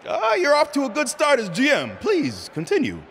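A man playing a bombastic, goofy showman wrestler in a video game, theatrical and booming, drawing out his words. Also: wildly swooping pitch, normal speed, fairly bright tone, faint music behind, heavily acted. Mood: mock-innocent. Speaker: wrestler character in a cutscene. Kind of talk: video game acting, hype promo.

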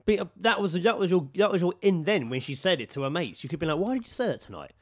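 Lively British man with a highish voice. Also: fast talker. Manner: jokey, crude, repeats phrases. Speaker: podcast co-host. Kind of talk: casual comedy podcast banter.

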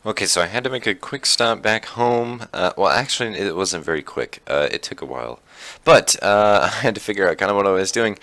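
A young man with a slightly raspy voice, chatting casually through his Minecraft survival gameplay with plenty of fillers. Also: on the low side, lively intonation, a little fast, sharp tone, dry recording. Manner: chatty, rambling, many fillers. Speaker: Minecraft YouTuber.

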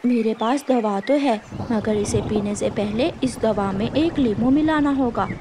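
Lady doing a calm healer bird for kids cartoon, high voice, concerned, noisy background. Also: unhurried, lightly acted. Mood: matter-of-fact. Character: helpful female bulbul bird, calm healer.

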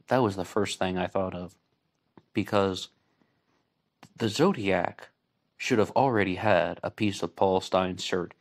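A man with a low-pitched voice hosts an online true-crime show. He talks through his commentary deliberately, with halting phrases and pauses between them. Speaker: online radio show host.

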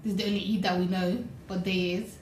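A young woman, a podcast guest in casual chat, playful and animated. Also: fairly low pitch, fast. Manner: animated, playful, quoting others. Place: podcast studio, indoors. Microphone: studio mic on arm. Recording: echoey room, faint hiss.

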